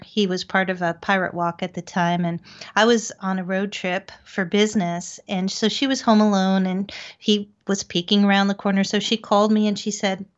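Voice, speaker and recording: A woman guest, a paranormal investigator, telling personal accounts in a conversational storytelling style over lo-fi audio. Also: mid-range voice.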